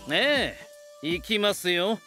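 A man's voice: high voice, very animated, takes it slowly, bright, forceful tone, soft background music, full character voice. An actor playing a composed, formal, scheming retainer devoted to his lord in an anime audio drama. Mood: composed, resolute. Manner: formal, composed, persuasive speech. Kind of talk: Japanese anime voice drama acting.

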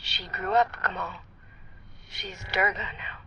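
A woman's voice: mid-range voice, fairly even intonation, a little slow, lightly acted. A woman playing a fragile, grateful young girl in a sci-fi audio drama. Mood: subdued, sad. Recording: muffled, noisy radio drama clip.

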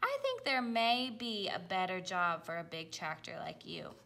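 A woman's voice: fairly low pitch, huge pitch swings, unhurried, gravelly, sharp tone, exaggerated voice. A woman voicing a kindly, easygoing male farmer in a storybook, with a thoughtful way of talking.

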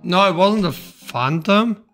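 A man's voice: sits high, lively intonation, slow, fairly bright tone, soft background music. A German-speaking man streaming a game, chatting loosely with a friend and swearing now and then. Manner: loose, swearing, restarts often. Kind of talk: casual gaming stream chat.

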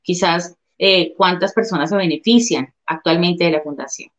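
A woman's voice: on the low side, moderate pace. A woman in her thirties asking interview questions as a journalist over a video call. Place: living room at home, indoors. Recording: dull video-call audio.

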